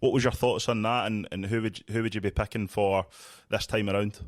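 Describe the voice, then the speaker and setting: Man, Scottish accent, podcast co-host, deep-ish, deliberate, dry studio. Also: fairly even intonation, no echo. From Scotland. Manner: friendly, speaks slowly on purpose. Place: small podcast studio indoors. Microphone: studio mic with headphones.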